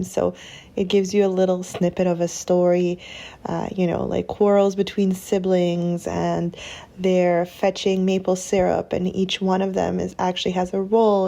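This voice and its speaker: A woman in her thirties, a homeschooling mother, describing a storybook in a gentle, enthusiastic, unhurried way. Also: fairly low pitch, creaky. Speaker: homeschooling mother sharing a book. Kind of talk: casual talk to camera.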